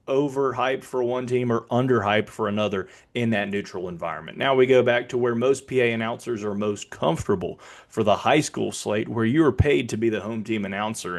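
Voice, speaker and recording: An American man who works as a public address announcer, giving an instructive talk in a measured, deliberate way. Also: medium pitch. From Birmingham, Alabama, United States. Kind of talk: explanatory talk with announcing demonstrations.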